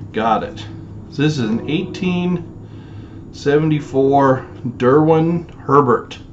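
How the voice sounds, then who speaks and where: A man's voice: mid-range voice, muffled recording, slight background noise. Rambling man showing off old guns. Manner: rambling, many fillers, talks while handling items. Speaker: storage unit reseller. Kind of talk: casual show-and-tell vlog. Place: small home office, indoors.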